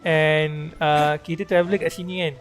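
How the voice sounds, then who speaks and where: Malaysian male streamer, high voice, casual code-switching commentary over soft game music. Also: flat delivery, normal speed. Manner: chatty, code-switching, slangy. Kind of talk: casual live gameplay commentary.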